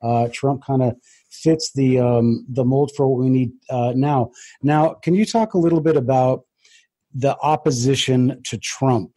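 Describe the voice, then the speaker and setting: Man, mellow husky voice, interview host, hesitant, casual questions. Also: medium pitch. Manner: hesitant, pausing often with ums.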